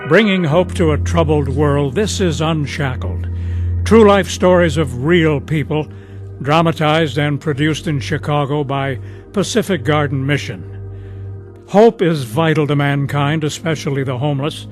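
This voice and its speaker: A man announces and narrates a scripted radio drama program. His voice sits a little high, with faint music along with it. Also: measured pace.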